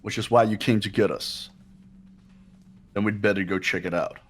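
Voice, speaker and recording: A man's voice: lowish voice, steady tone, normal speed, husky, soft background music. A man voicing a haughty, impatient young man in an amateur mystery game dub, curt and commanding.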